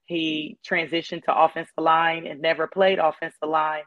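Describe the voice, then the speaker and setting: A hoarse woman talks as a guest in a casual interview. Her delivery is flat and measured, broken up by frequent ums. The sound is dull and lo-fi, and very dry. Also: low-pitched, no echo. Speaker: guest, mother of a player.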